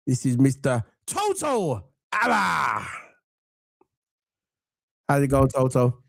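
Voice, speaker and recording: A man from England with a croaky voice from a cold, hosting a casual football chat and rambling warmly to viewers. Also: mid-range voice, wildly swooping pitch, slow pace, dry recording, studio quality. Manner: chatty, rambling, gives shout-outs to viewers. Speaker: football podcast host.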